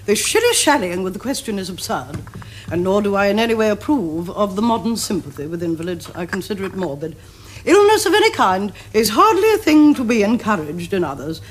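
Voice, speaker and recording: Casting: woman to play older upper-class English Victorian aunt, domineering and pompous, highly animated pitch, period TV comedy. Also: fairly quick, slight background noise, natural voice. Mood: imperious, disapproving. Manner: imperious, pompous, precise diction. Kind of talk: stage-like period comedy acting.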